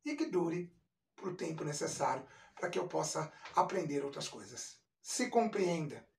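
A man's voice: fairly high pitch, lively intonation, a little slow, creaky, darkish tone. A middle-aged man with a Brazilian accent talks casually to the camera in a candid, reflective, explanatory way. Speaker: vlog host, chef. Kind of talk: casual personal talk to camera. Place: small indoor kitchen. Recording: echoey room, distant microphone.

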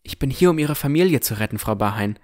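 An actor playing a puzzled but determined young armored swordsman who narrates his fantasy audio drama in a measured, introspective way. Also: mid-range voice, expressive, close to an everyday voice. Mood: puzzled, resolute. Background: music.

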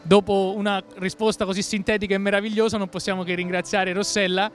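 A man's voice: sits high, little pitch movement, quick pace, soft background music. A man in his thirties presenting at an exhibition stand with a handheld microphone, effusive and full of lofty praise. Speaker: costumed presenter. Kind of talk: enthusiastic event reporting.

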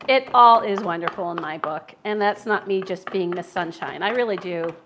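A woman's voice: fairly low pitch, moderate pace, fairly bright tone, a little hiss or hum. A middle-aged woman with a Philadelphia accent hosting a live cooking stream from a large home kitchen, chatting and laughing as she cooks, picked up by a camera across the room. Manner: chatty, laughs often, talks while cooking. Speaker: cooking show host. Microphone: far camera.

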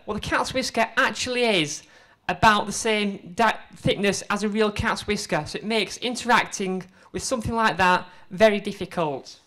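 Higher-pitched woman giving a talk. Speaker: conference speaker, museum exhibit developer. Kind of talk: informal short conference presentation. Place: indoor presentation room before a seated audience. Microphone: handheld microphone.

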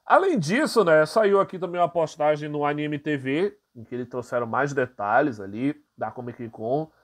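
A man's voice: on the high side, expressive, normal speed. A man with a Brazilian Portuguese accent hosting his channel, reading entertainment news aloud and commenting casually with frequent fillers. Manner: casual, many fillers, reads articles aloud then comments. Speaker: channel host.

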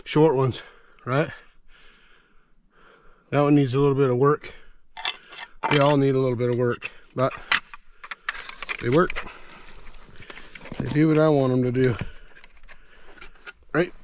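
A man's voice: mid-range voice, little pitch movement, slow pace, long pauses, a little mellow, dull audio, a little hiss or hum. A middle-aged man vlogging outdoors in a snowy forest, talking casually about his chainsaw and often checking in with the viewer. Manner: folksy, repeats himself, asks the viewer "right?". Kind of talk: casual outdoor show-and-tell. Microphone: helmet-mounted action camera.

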